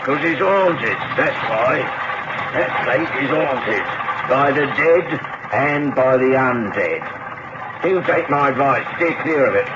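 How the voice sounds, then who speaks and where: An actor playing a gloomy, superstitious cart driver in a radio drama, with a creaky voice, a rural English accent and an ominous way of warning. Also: male voice, medium pitch, expressive, takes it slowly. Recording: noisy, dull old radio recording.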